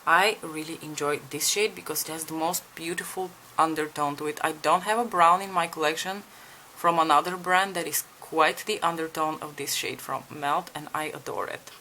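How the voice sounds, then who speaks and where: A woman's voice: sits low, fairly even intonation, normal speed, pushed and bright, slight background noise, low-quality recording. A young woman from Bulgaria chatting candidly to viewers while doing her makeup, asking them for advice and swearing casually. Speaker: beauty vlogger. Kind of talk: casual makeup tutorial chat.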